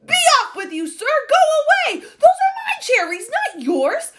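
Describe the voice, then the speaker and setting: A woman's voice: extremely high pitch, wildly swooping pitch, moderate pace, voice pushed up, full character voice. A woman voicing a pompous, furious old male duke who blusters and bellows in a storybook reading. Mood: enraged.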